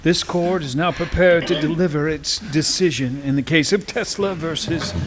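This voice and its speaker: An actor playing a stern, pompous chief justice, declaiming formally in an old-time radio courtroom drama. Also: male voice, fairly high pitch, normal speed, a crowd in the background, close to an everyday voice. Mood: stern, authoritative.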